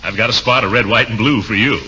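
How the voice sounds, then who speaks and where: An actor playing a pompous, blustering middle-aged uncle in a 1940s radio comedy, full of puns and chuckles. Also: male voice, on the low side, quick pace, sharp tone, a little hiss or hum. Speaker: comic lead of a radio sitcom. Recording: dull lo-fi 1940s radio recording.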